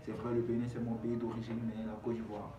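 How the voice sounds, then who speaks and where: A man's voice: medium pitch, completely flat delivery, quick pace, recorded from a distance. A young man with an Ivorian accent, a rapper giving casual interview answers in street slang. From Ivory Coast. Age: young adult. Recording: echoey room, off-mic, clearly noisy.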